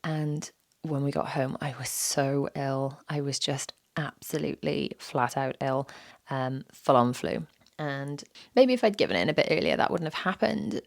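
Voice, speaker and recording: A woman, hosting a solo podcast with a croaky, sore-throated voice, candid and self-deprecating, repeating phrases for emphasis. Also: a little slow, dry recording, studio quality. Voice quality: low and gravelly. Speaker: podcast host. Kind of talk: reflective solo podcast talk.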